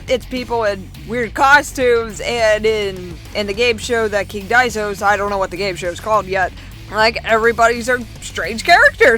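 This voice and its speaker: A young woman vlogger chatting casually as she reads out and answers viewer comments, in a chatty, excitable manner. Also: on the high side.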